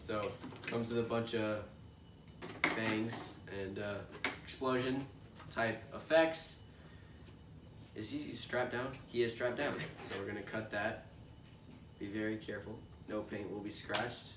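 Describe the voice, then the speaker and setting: A man's voice: fairly low pitch, steady tone, measured pace, pauses often. Congested young man in an echoey room. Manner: rambling and chatty with frequent fillers. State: congested from allergies. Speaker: toy unboxing host. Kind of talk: casual unboxing chat. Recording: echoey room, distant mic, noisy background.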